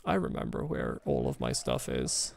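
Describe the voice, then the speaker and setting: Gravelly mild Scottish man chatting. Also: rumbling low, huge pitch swings, moderate pace, darkish tone. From Scotland. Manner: rambling, chuckling, replying to chat messages. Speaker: streamer. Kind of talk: casual live-stream chat while gaming.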